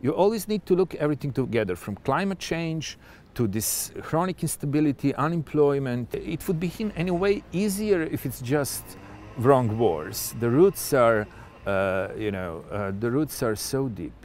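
A man giving a reflective commentary, pausing often to search for his words. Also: medium pitch, lively intonation, darkish tone, a little hiss or hum.

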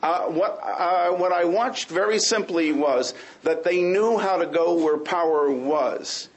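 A man's voice: fairly high pitch, slow pace. A man speaking as a panel guest in a heated debate, insistent and pushing to be heard.

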